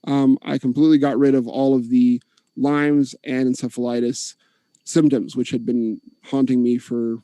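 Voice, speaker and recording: A man earnestly giving his opening statement in an online debate, steady with occasional fillers. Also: medium pitch, moderate pace, no echo, poor audio.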